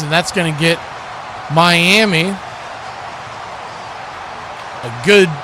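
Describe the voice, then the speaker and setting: A man with a notably high voice delivers live American football play-by-play as the announcer. Clear background noise runs behind his commentary.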